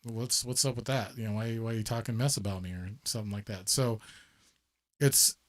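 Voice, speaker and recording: A man hosting a casual interview, speaking haltingly with many repeated words and fillers. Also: on the low side, fairly even intonation. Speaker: show host.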